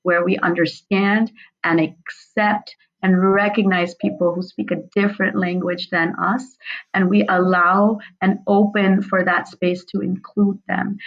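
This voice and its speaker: A woman's voice: mid-range voice, level tone, normal speed, vocal fry, dull audio. A woman in her thirties whose first language is Urdu, a guest author speaking reflectively in a conversational interview. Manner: reflective, speaks in long flowing sentences.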